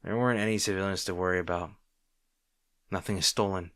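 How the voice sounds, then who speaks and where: Man acting a low-voiced teasing supervillain rival. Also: fairly even intonation, a little fast, a bit bright, no echo. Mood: teasing, playful. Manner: teasing, bantering, grudgingly sincere. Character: arrogant male supervillain rival, teasing but secretly caring. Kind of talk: roleplay audio drama addressed to the listener.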